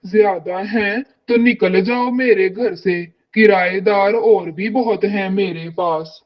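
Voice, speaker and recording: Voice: mid-range voice, takes it slowly, dull audio, natural voice. An actor voicing a greedy, harsh crow landlord in a cartoon, with a curt, bullying manner. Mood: harsh, angry. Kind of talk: Hindi cartoon dialogue.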